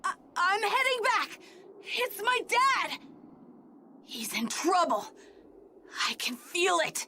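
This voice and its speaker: A woman's voice: extremely high pitch, big pitch swings, slow pace, pauses often, sharp tone, slight background noise, full character voice. A woman voicing a brave, earnest little boy hero in an anime video game, urgent and defiant. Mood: alarmed.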